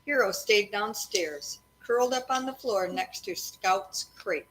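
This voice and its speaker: Female read-aloud storyteller, mid-pitched, conversational with young listeners, some background noise. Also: expressive, a little slow, a bit bright, low-quality recording. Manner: breaks off to address and question children.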